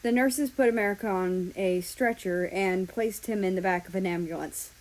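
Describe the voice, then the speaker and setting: A woman's voice: medium pitch, normal speed, darkish tone, low-quality recording. Woman reading a story aloud. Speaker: fan fiction reader. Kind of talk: expressive storybook-style narration.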